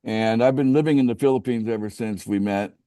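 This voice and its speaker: Low, mellow West Coast American man. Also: brisk, dry recording. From United States, West Coast. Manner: repetitive restarts, asks group for agreement. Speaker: business opportunity presenter. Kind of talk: casual group call pitch. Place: outdoors by a home pool.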